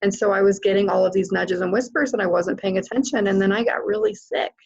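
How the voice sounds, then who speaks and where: A woman's voice: mid-range voice, expressive, fast, creaky, darkish tone, husky, dull audio. A woman hosting an interview, speaking emphatically and addressing her listeners directly. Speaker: host. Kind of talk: interview, spiritual coaching chat.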